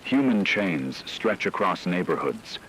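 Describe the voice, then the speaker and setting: Grave, slow, deep-voiced male narrator. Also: sing-song, fairly bright tone, a little hiss or hum, poor audio. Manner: grave, measured, portentous delivery with deliberate pauses. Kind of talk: dramatic scripted narration in the manner of a radio story.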